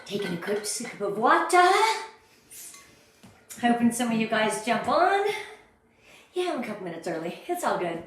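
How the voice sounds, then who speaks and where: A middle-aged American woman speaking with gushing, high energy, greeting viewers on a live online motivational training. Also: big pitch swings, normal speed, pauses often, a little hiss or hum. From Southern California, USA. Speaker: sales director leading a motivational training. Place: indoor room, live stream with ring light. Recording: very echoey, roomy sound.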